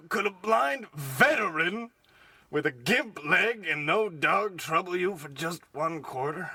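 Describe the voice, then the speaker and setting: A man's voice: high voice, expressive, pushed and bright, dry recording, heavily acted. A man playing a humble, pleading old blind beggar and veteran in a parody radio play. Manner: halting, wheedling, humbly grateful. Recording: clearly noisy, clicky recording.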